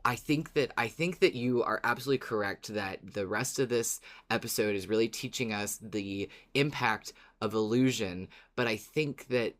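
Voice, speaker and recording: A podcast co-host talking in a measured, deliberate way in a casual fan discussion. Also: mid-range voice, a bit bright.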